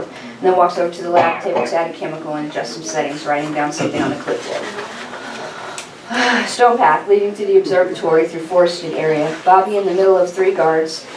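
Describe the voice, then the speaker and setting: A woman's voice: fairly low pitch, background noise. A woman reading scripted stage directions as narrator at a table read. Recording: echoey room, distant mic, people around.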